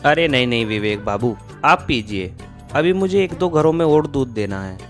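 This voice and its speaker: A man's voice: medium pitch, expressive, moderate pace, lightly acted. A man playing an honest, polite milkman in a Hindi moral-story cartoon, speaking earnestly and deferentially. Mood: humble. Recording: music behind the voice.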